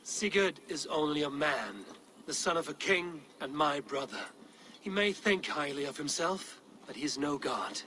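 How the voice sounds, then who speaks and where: An actor playing a steadfast, blunt Norse warrior with a declamatory streak in a dramatic video game. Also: male voice, fairly high pitch, lively intonation, normal speed, bright, forceful tone, slight background noise. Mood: troubled.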